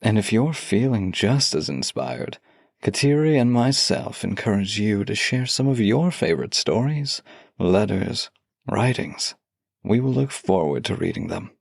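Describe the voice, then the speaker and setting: A man with a low, gravelly voice speaks as a podcast co-host, recorded very dry and close. His delivery is measured and soft-spoken, with an intimate storytelling feel. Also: sing-song, no room sound. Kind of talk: intimate podcast talk with a read-aloud letter.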